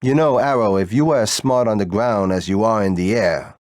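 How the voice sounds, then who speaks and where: An actor playing a wry, blunt, seasoned military pilot comrade in a video game. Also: male voice, fairly low pitch, moderate pace, a little mellow, no room sound, studio quality, lightly acted. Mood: teasing. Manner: blunt, teasing.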